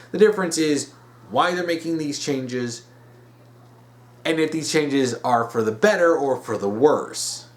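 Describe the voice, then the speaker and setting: A man hosting an opinion series, speaking bluntly and emphatically and repeating phrases to drive points home. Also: on the high side. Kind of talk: opinionated commentary.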